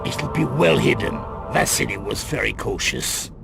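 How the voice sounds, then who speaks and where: An actor playing a grim, guarded middle-aged crime boss in a video game cut-scene, with a gravelly voice and grave, deliberate delivery. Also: male voice, mid-range voice. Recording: loud music under the voice.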